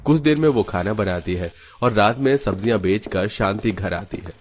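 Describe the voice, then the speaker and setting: A man's voice: on the low side, lively intonation, moderate pace, a little hiss or hum. A man reading scripted narration for a family story.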